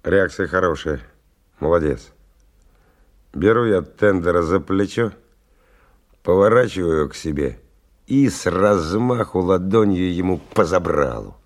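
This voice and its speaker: A man's voice: low-pitched, animated, a little slow, pauses often. An actor playing a tough, cynical stalker who tells his story in a colloquial, sardonic radio-drama monologue with dramatic swings. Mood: curt, harsh. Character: tough, cynical male stalker narrating his dangerous expedition. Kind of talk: 1990 radio drama first-person monologue. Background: music.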